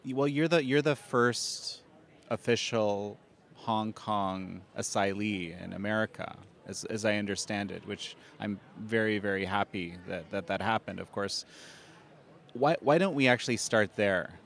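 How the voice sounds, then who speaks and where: Low-voiced, halting male interview host. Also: vocal fry, a bit bright. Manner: halting, hesitant restarts when asking. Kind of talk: interview hosting and scripted intro.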